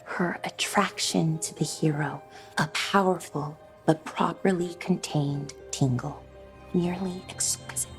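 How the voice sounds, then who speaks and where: A woman character reads florid prose in a game. Her low voice lingers deliberately on phrases, slow, over faint music. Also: steady tone, poor audio. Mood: savoring. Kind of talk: video game voice acting.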